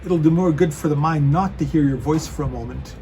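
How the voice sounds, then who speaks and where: A man's voice: on the high side, brisk, warm tone, a little hiss or hum, lightly acted. A man playing a stern, loyal Roman soldier who scolds his comrade in a declamatory way, in a dramatic reading. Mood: irritated, scolding. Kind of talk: dramatic reading of a church passion play.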